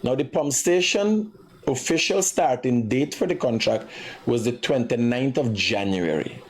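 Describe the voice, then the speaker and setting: A man's voice: mid-range voice, expressive, unhurried, slightly rough. A man with a Guyanese accent hosting a live commentary show, speaking emphatically and repeating himself in an accusatory way. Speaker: live morning show host.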